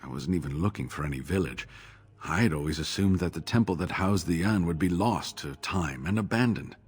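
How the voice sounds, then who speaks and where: An actor playing an older, injured male scholar in a video game, weary and sarcastic, talking in a measured way. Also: deep voice, husky. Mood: thoughtful. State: injured, exhausted. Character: older male scholar, wounded captive, wry. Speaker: game character.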